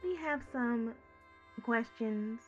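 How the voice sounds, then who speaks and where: A woman's voice: on the high side, very slow, dull audio, faint music behind. A woman playing a stern police officer who speaks in a formal, official manner.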